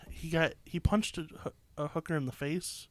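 A man in relaxed podcast chat, his voice nasal and stuffed up. He talks with dry, joking asides, and the sound is close and dry, with no room echo. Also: a little high, measured pace. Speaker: podcast co-host. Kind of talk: casual chat. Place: cold basement.

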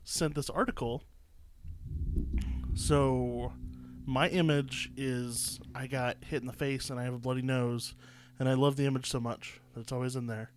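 A man's voice: medium pitch, steady tone, normal speed, slight background noise. A man co-hosting a podcast in casual chat, nasal from a stuffed-up nose, with dry joking asides, recorded in a cold basement. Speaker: podcast co-host.

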